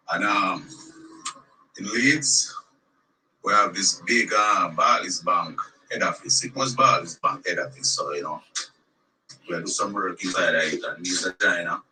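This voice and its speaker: A Jamaican man telling a story in a relaxed way on a voice note. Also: low-pitched, measured pace, recorded from a distance, a little hiss or hum, rough recording. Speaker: caller.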